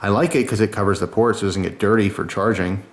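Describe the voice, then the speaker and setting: Male reviewer, lowish pitch, casual and chatty, informal enthusiast delivery. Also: quick pace. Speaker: product reviewer.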